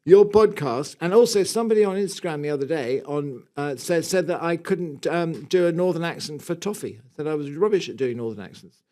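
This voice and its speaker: A man's voice: a little high, lively intonation, fairly quick, darkish tone. An English man co-hosting a podcast, chatting casually and reading out questions in a playful, teasing way with dry humour. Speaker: podcast co-host.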